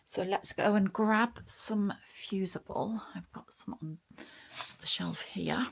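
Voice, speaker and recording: A woman's voice: medium pitch, takes it slowly, vocal fry, husky. A British woman giving a casual, chatty craft tutorial as a vlog host. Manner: chatty, informal.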